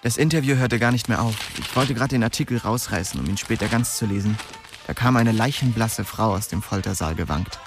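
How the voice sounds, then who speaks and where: A man's voice: fairly low pitch, brisk, vocal fry, over music. An actor playing a wry, streetwise young man narrating his own story in a radio drama. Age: young adult.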